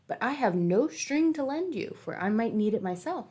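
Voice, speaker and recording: A woman's voice: fairly high pitch, animated, moderate pace, a little mellow, breathy edge, pitched above the performer's own voice. Woman doing young man, wicked, selfish, curt, storybook.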